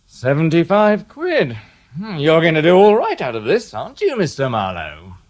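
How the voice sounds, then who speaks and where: A blunt, sarcastic police inspector. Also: male voice, fairly high pitch, huge pitch swings, normal speed. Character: gruff sceptical middle-aged police inspector. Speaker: detective inspector. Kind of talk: radio drama acting.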